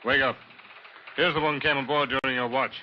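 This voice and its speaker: An actor playing a brusque, scornful sailor in an old-time radio drama, curt and needling. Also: male voice, mid-range voice, steady tone, fairly quick, close to an everyday voice. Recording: muffled, faintly noisy vintage radio recording.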